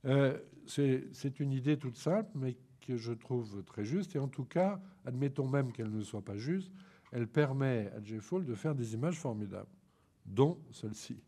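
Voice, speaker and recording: Husky-voiced man lecturing with a cold. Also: medium pitch, expressive, moderate pace, dark tone. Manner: hesitant, many ums and restarts. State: congested with a head cold. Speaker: lecturer on photography. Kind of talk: informal art lecture.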